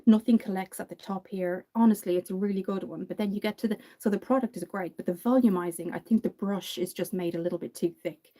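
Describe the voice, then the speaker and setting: A woman's voice: mid-range voice, fast, hardly any pauses, mellow. A young woman from Dublin with an Irish accent, chatting away through a casual livestream makeup tutorial and answering viewer comments. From Ireland.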